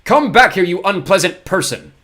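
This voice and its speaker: A man's voice: medium pitch, expressive, fast, voice pushed up, close to an everyday voice. A man voicing a stern, fussy male banker guardian who blusters and raises his voice. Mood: shouting.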